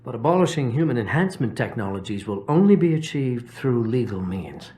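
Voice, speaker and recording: An actor playing a self-important political activist leader in a video game, polite, measured and oratorical. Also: male voice, medium pitch, very animated, darkish tone, lightly acted. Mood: earnest. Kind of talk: video game cutscene acting.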